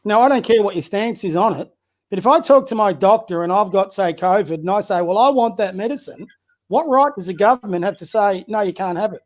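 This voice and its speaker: A high-pitched man talks as a guest on a casual podcast. He is a community basketball coach who speaks bluntly and opinionatedly, firing rhetorical questions at his listeners and tripping into restarts as he builds his point. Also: a little fast. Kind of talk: casual political chat.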